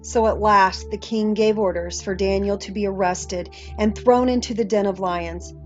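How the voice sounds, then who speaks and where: A woman's voice: medium pitch, steady tone, moderate pace, darkish tone. A woman reading a Bible story aloud as a scripted narrator, on slightly muffled audio. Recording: muffled audio with faint music.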